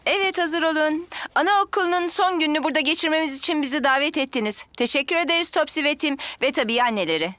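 An encouraging nursery teacher in a children's show dub. Her voice is high and bright, cheerfully praising and gently directing, recorded close and dry. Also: fast, few pauses, dry recording. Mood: cheerful, organizing. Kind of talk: children's television drama dubbing.

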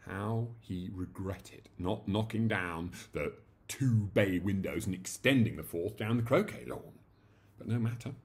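Male narrator, 40s, very low voice with lively swoops, theatrical comic storytelling. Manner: theatrical, animated. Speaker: story reader. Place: small indoor room at home.

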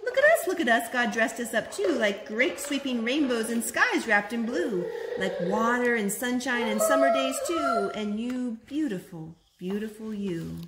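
A woman in her thirties reading a picture book aloud to small children in an expressive, singsong way at home. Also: fairly high pitch, normal speed, few pauses. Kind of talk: storytime read-aloud. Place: living room at home, on a sofa. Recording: roomy, noisy home video recording.

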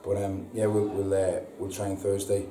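Low flat-voiced football manager. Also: male voice. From England. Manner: halting, repeats words, frequent ums. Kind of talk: post-match interview. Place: indoor press room before a sponsor board. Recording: echoey room, distant mic, audible hiss.

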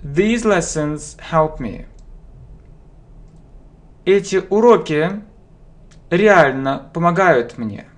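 A man teaches English at a very slow, deliberate pace, breaking each phrase into single words and repeating them. He speaks into a webcam at a home desk, and keyboard typing and mouse clicks are heard behind him. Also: fairly high pitch, expressive. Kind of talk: slow dictation-style language lesson. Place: home room, indoors.

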